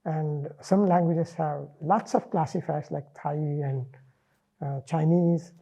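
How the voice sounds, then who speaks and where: A middle-aged man from India, a native Marathi speaker with an Indian English accent, talking in an interview in a measured, thoughtful way with many pauses. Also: on the high side, fairly even intonation, gravelly, mellow, lo-fi sound. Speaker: interviewee, linguist. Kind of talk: relaxed reflective interview.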